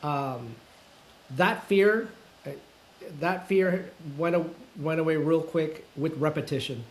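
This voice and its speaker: Fifties male guest, fairly high voice, hesitant and thoughtful, lots of ums and restarts. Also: slow, low-quality recording. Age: 50s. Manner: self-described introvert. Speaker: guest, full-time content creator. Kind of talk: casual interview conversation.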